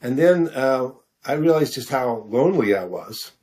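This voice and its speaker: A middle-aged American man answering questions in a casual interview, halting and self-deprecating as he searches for his words. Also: medium pitch, animated, mellow, husky. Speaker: author interview guest.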